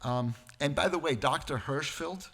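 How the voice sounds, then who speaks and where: A man delivering a prepared lecture-style sermon, speaking deliberately phrase by phrase and dropping into informal, wry asides. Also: a little high, a bit bright. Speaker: guest speaker at a church service.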